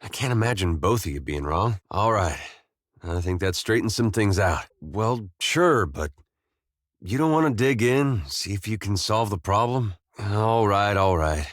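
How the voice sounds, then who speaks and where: Gentle farmer-soldier for a game, folksy american drawl, lowish voice, dry close sound. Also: male voice, animated, unhurried, dry recording. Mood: easygoing. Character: gentle, weary young-middle-aged farmer turned soldier. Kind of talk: video game character dialogue.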